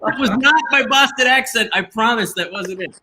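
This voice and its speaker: A man's voice: very high voice, normal speed, reverberant, slight background noise. A man hosting a casual online show, joking and laughing with his co-hosts. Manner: animated, joking, laughs often. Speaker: host.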